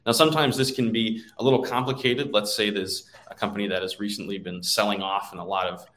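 Guy with a lowish voice doing chatty stock market commentary, co-host type. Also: steady tone, quick pace, fairly bright tone.

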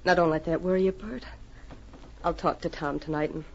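A woman's voice: low voice, fairly even intonation, fairly quick, darkish tone. An actress playing an earnest, pleading young frontier woman and loyal sister in 1940s radio drama style. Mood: coaxing, earnest. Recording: muffled, hissy old radio recording.

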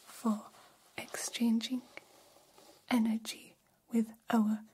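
A woman voicing a gentle, curious alien in an ASMR roleplay, whispering with deliberate, stilted formal phrasing. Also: a little high, level tone, slight background noise. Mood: calm, explanatory.

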